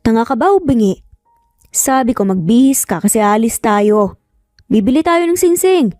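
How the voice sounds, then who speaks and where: A woman's voice: on the high side, lively intonation, normal speed, dark tone, no room sound, studio quality, faint music behind, lightly acted. A woman voicing a curt, bossy, rich male employer in a romance audio story, commanding and dismissive. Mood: impatient, bossy.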